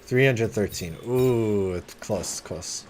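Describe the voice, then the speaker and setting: A man's voice: lowish voice, slow, a little mellow, slight background noise. A man commentating casually on a game livestream, chatty and thinking aloud, with dry joking remarks. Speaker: game streamer. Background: game music.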